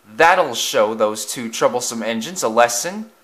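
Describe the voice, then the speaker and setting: A man's voice: mid-range voice, expressive, a little slow, a bit bright. A man voicing a big, smug blue steam engine in a children's railway story, with a gloating, teasing manner. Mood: pleased.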